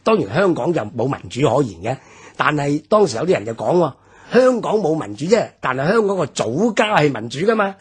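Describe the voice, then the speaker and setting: A man with a Hong Kong Cantonese accent explaining history in a measured radio discussion, with many fillers. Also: a little high, sing-song, husky. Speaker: history commentator. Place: radio studio.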